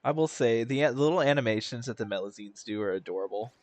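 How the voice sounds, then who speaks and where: A man's voice: medium pitch, fast, darkish tone, no room sound. A young man streaming a game, making sarcastic jokes in meme slang. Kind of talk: casual live commentary over a game.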